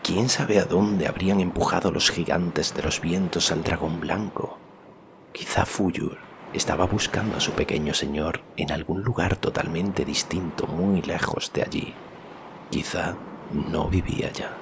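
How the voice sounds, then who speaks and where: A man narrating a scripted fantasy story as an audiobook, with a measured, suspenseful delivery and a gravelly voice. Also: deep voice, background noise. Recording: music behind the voice.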